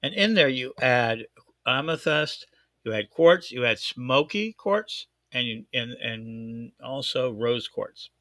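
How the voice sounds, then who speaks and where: A man with a thick Chicago accent hosting a casual chat show, talking in an excitable, rambling way and correcting himself often. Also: mid-range voice, slow, no room sound. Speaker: host. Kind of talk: casual live-stream chat.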